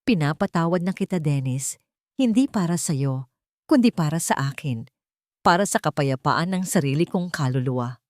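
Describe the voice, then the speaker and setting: A cold betrayed wife, played by a woman reader, speaks with bitter resolve in an audiobook drama. Her lines are measured and solemn, in a slightly low voice with a dry, close sound. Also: lively intonation, dry recording. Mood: solemn, resolute.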